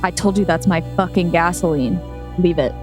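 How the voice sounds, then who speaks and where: A woman's voice: on the low side, moderate pace, over music, close to an everyday voice. A woman playing a belligerent, confrontational angry male driver in a screenplay read-through. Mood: menacing. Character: foul-mouthed, aggressive, angry male driver with gun.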